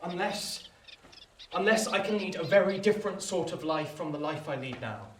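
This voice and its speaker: Restless lovesick young lad, radio drama, earnest n petulant, very up and down, echoey, bit away from the mic. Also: male voice, sits high, animated, moderate pace. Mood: miserable, petulant. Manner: earnest, impassioned, swinging from petulant to wistful. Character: discontented, lovesick working-class youth longing for gentility. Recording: roomy echo, distant mic, faint hiss.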